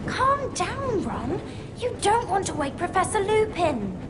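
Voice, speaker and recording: A woman's voice: very high voice, sing-song, unhurried, background noise, heavily acted. A woman voicing an earnest, bossy, worried schoolgirl in a video game cutscene.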